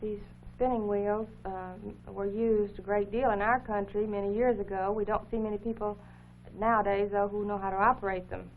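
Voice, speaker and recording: A narrow, phone-like 1950s recording with a faint hum carries a woman's voice with little rise or fall. She explains what is being shown in a careful, formal way, pausing as she finds her words. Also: medium pitch, fairly even intonation. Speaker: co-host of an educational program. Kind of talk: unscripted explanatory commentary over film footage.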